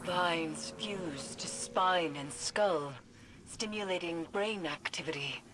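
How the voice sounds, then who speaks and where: Low, slow, brooding occult expert. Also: female voice, noisy background. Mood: ominous, brooding. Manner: solemn, ominous, cryptic, deliberate. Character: grave, brooding female occult expert and field agent. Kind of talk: scripted video game voice acting.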